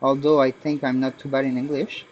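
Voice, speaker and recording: A man's voice: mid-range voice, flat delivery, a little fast, highs cut off. A man with a Quebec French accent, a native French speaker, chatting through a live hands-on repair tutorial as the host and technician, often searching for his words. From Canada, French-speaking province.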